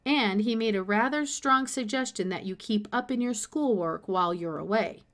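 An easygoing country father from a children's novel, voiced by a woman reader. He talks practically, with casual and unhurried phrasing. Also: medium pitch, fairly bright tone, lightly acted.

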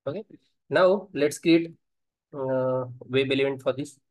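A man teaching software testing in a screen-recorded coding tutorial, talking haltingly and thinking aloud while he types, with frequent fillers. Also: medium pitch, no echo, low-quality recording.

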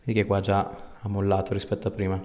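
A man's voice: low-pitched, completely flat delivery, fast, darkish tone. A man, a chiropractor giving casual instructions and chatting with a patient. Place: small indoor clinic treatment room. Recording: echoey treatment room, faint noise.

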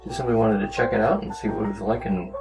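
A man giving a casual, rambling, unhurried demonstration of a hobby project, talking as a maker. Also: lowish voice, fairly even intonation, music in the background. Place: small cluttered indoor workshop.

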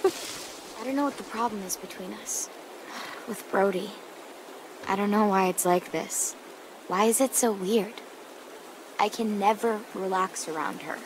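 Guilt-ridden teen girl survivor adult actress for a game drama; naturalistic, halting and dry, mid-pitched, over clear outdoor noise. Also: natural voice. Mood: uneasy.

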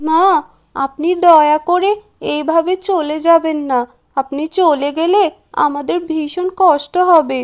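A woman voicing a gentle young daughter-in-law in a cartoon, speaking in a pleading manner. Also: sits high, steady tone, a little slow. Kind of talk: cartoon voice acting.

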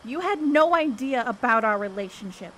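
A woman's voice: fairly high pitch, animated, moderate pace, fairly bright tone. A woman playing a determined young woman seeking the truth in ancient Greece, speaking boldly and earnestly in an audio drama. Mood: passionate, challenging. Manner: bold, earnest, declamatory. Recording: faint background noise.

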